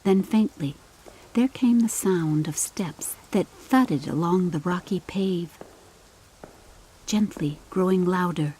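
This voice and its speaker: Slow, low-voiced woman narrating a story. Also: warm tone, husky, rough recording. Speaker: audiobook narrator. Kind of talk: scripted dramatic story narration.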